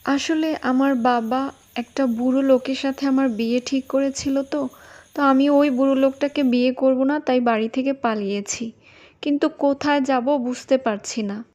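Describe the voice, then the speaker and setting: A woman as a high-voiced, coy young cartoon maiden. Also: steady tone, moderate pace, darkish tone, lo-fi sound, natural voice. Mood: helpless pleading. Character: sweet-talking scheming young petni disguised as human woman. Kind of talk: cartoon voice acting.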